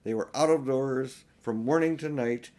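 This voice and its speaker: A man's voice: medium pitch, moderate pace, a little mellow. An older man narrating a children's storybook expressively as an audiobook reader.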